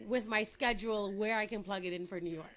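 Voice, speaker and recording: A woman's voice: mid-range voice, fairly quick. A young adult woman from Trinidad, a guest entrepreneur in a casual interview, talking in an upbeat, chatty way and laughing easily.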